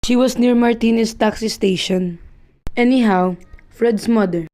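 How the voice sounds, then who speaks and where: A woman's voice: a little high, unhurried, dark tone, close to an everyday voice. A woman playing a casual, matter-of-fact son in an amateur radio play.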